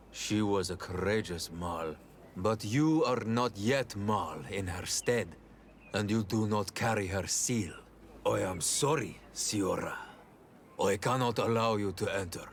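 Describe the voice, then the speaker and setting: Stern gatekeeper, deep-set pitch, slow delivery, dutiful, formal, unyielding, game cutscene. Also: male voice, slight background noise. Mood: firm. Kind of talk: video game cutscene acting.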